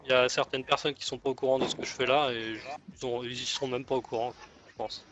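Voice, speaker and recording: A man's voice: medium pitch, flat delivery, fast talker, natural voice. Man playing a hesitant gangster negotiator, muffled chat. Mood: earnest, conciliatory. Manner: hesitant, rambling, conciliatory. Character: deferential male gang negotiator offering an alliance. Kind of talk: improvised online roleplay dialogue.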